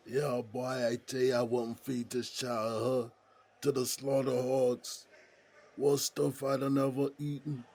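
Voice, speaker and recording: A man playing a good-humored, folksy prison inmate in an audio drama, with a gravelly, husky voice and colloquial drawl. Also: mid-range voice, little pitch movement, slow, a little mellow. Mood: amused. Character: folksy, good-humored male jailhouse buddy named Smokey.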